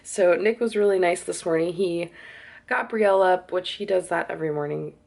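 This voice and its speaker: A woman's voice: fairly low pitch, moderate pace, creaky, a little mellow. A young woman vlogging casually at home, chatty, with lots of "like" fillers and small laughs. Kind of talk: casual vlog chat. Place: home kitchen.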